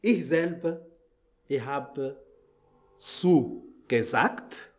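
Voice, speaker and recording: Man w french accent preaching in german, really slow, lots of ums n restarts, malagasy native. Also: medium pitch, faint music behind. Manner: halting, many fillers and restarts, searches for words. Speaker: visiting preacher. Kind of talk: church greeting and sermon opening. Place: church hall.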